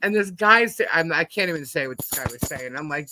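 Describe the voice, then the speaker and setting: A middle-aged American woman with a deep husky voice, chatting casually and bluntly as a live stream host, telling rambling anecdotes in slangy speech. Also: animated, brisk, sharp tone, slight background noise, low-quality recording. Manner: blunt, slangy, animatedly quotes herself and others.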